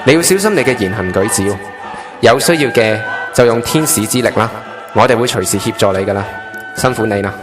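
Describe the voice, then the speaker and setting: Male actor as a solemn chief angel, low voice, formal and authoritative, Hong Kong accent, radio drama, clear music underneath. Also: lively intonation, brisk, lightly acted. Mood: brisk, businesslike. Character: solemn male chief angel briefing a newly made trainee angel. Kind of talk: Cantonese radio drama acting.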